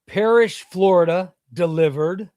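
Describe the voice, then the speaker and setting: A man's voice: sits high, unhurried, a little mellow, no echo, clean studio sound. A man from Illinois whose first language was Spanish, hosting a casual livestream and reading viewer comments in a rambling, offhand way with some swearing. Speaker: comic book seller and livestream host.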